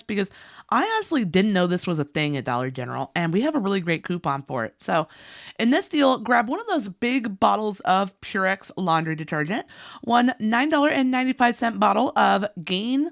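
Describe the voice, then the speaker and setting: A woman's voice: medium pitch, vocal fry. A woman, hosting a couponing channel and chatting through deals while losing her voice. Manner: bubbly and chatty, listing items and prices. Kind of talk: upbeat scripted deal rundown.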